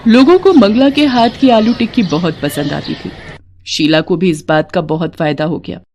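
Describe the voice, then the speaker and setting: A woman's voice: fairly low pitch, moderate pace, a little mellow, some chatter behind, a little hiss or hum. Woman narrating a scripted story.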